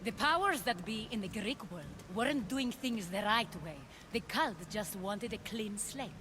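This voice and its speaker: An actress playing a poised, ambitious female former cult leader in a video game drama, speaking in a measured, persuasive way. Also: medium pitch, lively intonation, background noise, close to an everyday voice. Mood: calm, confessional.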